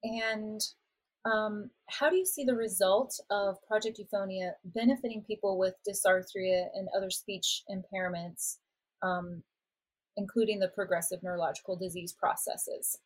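A woman's voice: mid-range voice, steady tone, moderate pace, rough recording. A woman podcast host asking a guest questions in a friendly manner, with frequent fillers. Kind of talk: interview questions.

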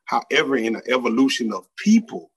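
A man in his forties making his case in a casual podcast debate, preachy and animated, setting out points one after another. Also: medium pitch, a little fast, slightly rough. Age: 40s.

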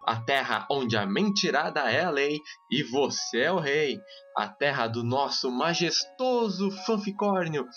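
A man's voice: on the high side, animated, measured pace, fairly bright tone, muffled recording, soft background music. A man hosting a comedy podcast, announcing the opening in a playful sing-song. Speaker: podcast host.

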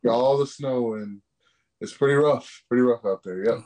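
A man's voice: medium pitch, normal speed, warm tone. A man co-hosting a casual live video podcast over a video call, giving brief, easygoing replies. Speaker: podcast co-host.

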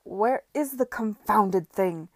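A woman voicing an excitable, scattered gentleman builder who talks loudly and eagerly. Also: medium pitch, no room sound, studio quality, natural voice. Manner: loud, eager, rushed.

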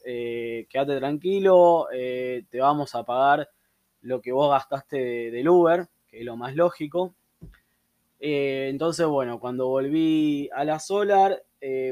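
Relaxed Argentine man, dry close sound. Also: medium pitch, no room sound. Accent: Argentine Spanish. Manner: relaxed, many "eh" fillers. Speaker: podcast host. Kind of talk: casual storytelling and chat. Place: room with door open, people passing.

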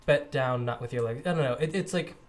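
Teasing young male podcast co-host. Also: medium pitch. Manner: teasing, quick interjections. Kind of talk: casual podcast chat.

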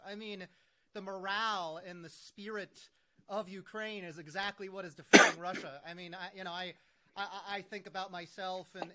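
A man's voice: sits high, bright, forceful tone. A man from the United States hosting an interview, emphatic and eager, often restarting his sentences. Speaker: interviewer, host. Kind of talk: conversational interview.

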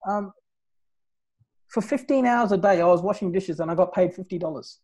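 Higher-voiced man chatting, full of "you know"s. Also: moderate pace, a little mellow. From Nepal. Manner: peppers talk with "right?" and "like, you know". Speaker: podcast guest. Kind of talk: casual interview, telling his life story.

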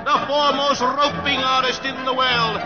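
An actor playing a bombastic Wild West show barker in an old radio drama, declaiming a grandiose spiel. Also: male voice, very high voice, little pitch movement, normal speed, loud music behind the voice, over a noisy crowd. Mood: bombastic, excited. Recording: dull old radio recording.

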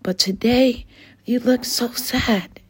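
A woman voicing a caring mother dragon in a bedtime story, soft and fussing, sometimes whispering. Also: fairly high pitch, slow, husky, voice pushed up. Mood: tender.

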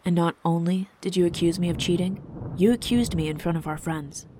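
A woman's voice: on the low side, brisk, warm tone, a little hiss or hum, natural voice, rough recording. A woman voicing a proud, wounded husband and king in a storybook, intense and indignant. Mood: cool, hurt. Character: proud, wounded husband and king, cool but hot-tempered.

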